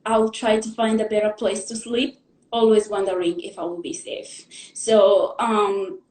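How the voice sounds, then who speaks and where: A young woman from the Democratic Republic of the Congo tells her life story as a guest in a live interview, in an earnest, halting way, pausing to gather her thoughts. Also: medium pitch, level tone, a little mellow, reverberant, poor audio. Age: young adult.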